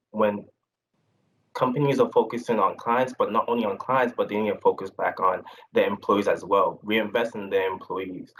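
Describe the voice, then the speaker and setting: A young man from Haiti speaking as a panelist on a video call, earnest and measured. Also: lowish voice, a bit bright, slight background noise, rough recording. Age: young adult. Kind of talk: virtual panel discussion.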